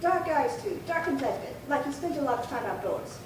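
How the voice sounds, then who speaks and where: A woman's voice: high-pitched, animated, moderate pace. A woman acting a prim, chatty, indignant old lady in her late seventies in a 1950s-style radio crime drama, fussy and rambling. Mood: earnest recounting. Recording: very echoey, distant, noisy room.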